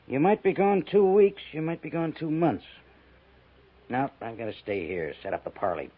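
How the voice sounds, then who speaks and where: An actor playing an earnest army major who gives orders in a 1950s radio western. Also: male voice, fairly high pitch, animated, moderate pace, slightly rough. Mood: commanding. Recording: thin, phone-like, noisy 1950s radio recording.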